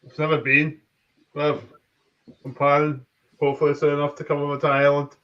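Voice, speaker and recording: A man in a casual chat, talking hesitantly with many pauses and restarts. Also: on the high side, monotone. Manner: hesitant, full of ums and restarts. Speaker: chat guest. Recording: dull lo-fi audio.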